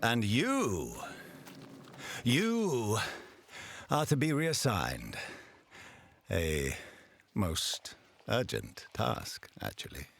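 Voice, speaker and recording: A cold, imperious fantasy ruler, low and husky. His speech is slow and deliberate, swinging theatrically in pitch as he heaps disdain on underlings. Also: huge pitch swings, a bit bright, exaggerated voice. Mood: scornful. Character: imperious ruler lording over his commanders, scornful and cold. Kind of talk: dramatic fantasy game acting.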